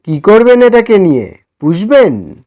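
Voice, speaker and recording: A courteous, reserved male doctor in storybook dialogue, voiced by the narrator, a man. He speaks in a fairly high voice and has a polite, inquiring manner. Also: big pitch swings, unhurried, dark tone, lo-fi sound. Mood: curious.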